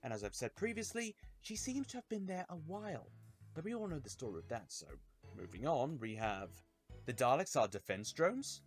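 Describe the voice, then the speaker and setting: Animated man presenting video commentary. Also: mid-range voice. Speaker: YouTube reviewer and host. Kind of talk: scripted video commentary to viewers.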